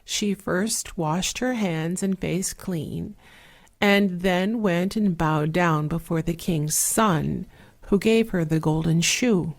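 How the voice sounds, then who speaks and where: A soft-spoken woman narrating a fairy tale in a measured, relaxing way, with an 8D surround panning effect. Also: lowish voice. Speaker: storyteller.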